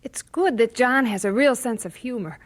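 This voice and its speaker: An actress playing a cheerful, affectionate young missionary bride in an audio drama. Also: female voice, fairly high pitch, moderate pace, close to an everyday voice. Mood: delighted, fond. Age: young adult.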